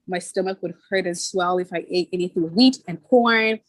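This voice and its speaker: A woman's voice: on the low side, no echo. Candid young woman telling her story. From born in Ghana, raised in Toronto. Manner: earnest, candid, quick to laugh. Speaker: podcast guest, brand founder. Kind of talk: casual interview storytelling.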